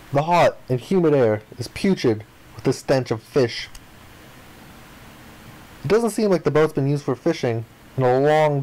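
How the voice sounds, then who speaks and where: A man's voice: medium pitch, poor audio. Man reading visual novel text aloud expressively. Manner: expressive, acts out the protagonist's lines. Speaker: playthrough host reading aloud. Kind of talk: dramatic read-aloud of visual novel narration and dialogue.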